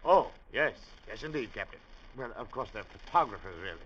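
An actor in an old radio drama playing a pompous, effusive small-town judge who speaks like an orator. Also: male voice, medium pitch, animated, fairly quick, a bit bright, close to an everyday voice. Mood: effusive, flattering. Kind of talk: 1950s radio drama acting. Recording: muffled, lightly noisy old radio recording.

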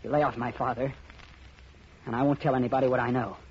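A man's voice: mid-range voice, steady tone, fast talker, darkish tone, a little hiss or hum, close to an everyday voice. Actor as a pushy young man, muffled old radio. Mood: accusing. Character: pushy, evasive young banker son wheedling his father. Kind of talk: 1950s radio drama acting.

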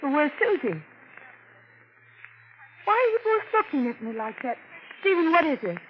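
Brief: distraught, suspicious wife in radio thriller, high pitched, anxious pleading, noisy vintage recording. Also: female voice, sing-song, moderate pace, muffled recording. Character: frightened, distraught wife accused of murder. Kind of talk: 1940s radio mystery acting.

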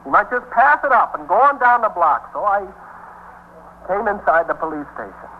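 Griping New hustler, wildly swinging pitch. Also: male voice, big pitch swings, normal speed. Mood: resigned, animated. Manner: streetwise, rambling, griping. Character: cornered, self-pitying small-time male drug dealer in custody. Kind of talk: 1950s radio drama acting. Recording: muffled 1950s radio recording, faint hum.

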